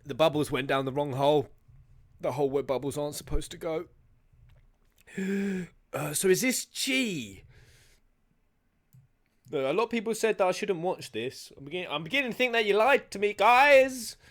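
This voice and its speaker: A man giving casual, playful and animated reaction commentary as a gaming YouTuber, with some crude humour. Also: a little high, moderate pace, long pauses.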